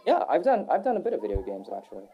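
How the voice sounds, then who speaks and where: A young adult man answering interview questions in a relaxed, rambling way, with many fillers and restarts. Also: on the high side, racing, muffled recording, faint music behind. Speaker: voice actor guest. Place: Texas.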